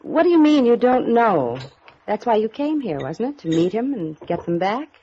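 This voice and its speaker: A woman's voice: a little high, sing-song, moderate pace, mellow, a little hiss or hum. An actress playing a guarded, upper-class young woman with cool, defensive retorts in a 1950s radio drama. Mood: guarded, challenging. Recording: muffled old radio recording.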